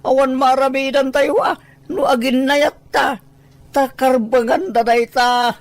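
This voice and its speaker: A woman's voice: high-pitched, little pitch movement, measured pace, no room sound. A woman voicing a firm, peacemaking uncle who coaxes and mediates in an Ilocano audio drama. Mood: coaxing. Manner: coaxing, mediating.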